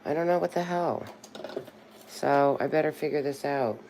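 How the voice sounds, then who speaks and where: A woman's voice: deep voice, moderate pace, warm tone, slight background noise, low-quality recording. A woman in her sixties hosting a casual livestream from home, chatting loosely and breaking into bits of birthday songs. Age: 60s. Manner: chatty, sings snatches of birthday songs to herself. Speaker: livestream host. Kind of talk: rambling casual livestream chat.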